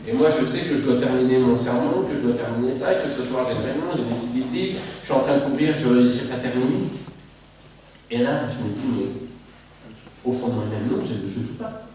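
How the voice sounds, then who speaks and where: A man's voice: mid-range voice, brisk, mellow, a little hiss or hum. Man preaching in an echoey church. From Mauritius. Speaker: pastor. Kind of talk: sermon on family life. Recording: echoey church hall from far off.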